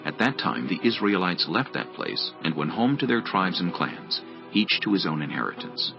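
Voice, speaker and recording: A man's voice: low voice, sing-song, normal speed, gravelly, highs cut off. A man narrating scripture from a script in a dramatic audiobook style. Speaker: Bible narrator. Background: music.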